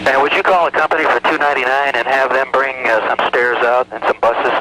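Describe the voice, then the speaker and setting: A man's voice: medium pitch, steady tone, fairly quick. A man speaking as an airline pilot to air traffic control over a cockpit radio. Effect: cockpit aviation radio. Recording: noisy cockpit radio transmission.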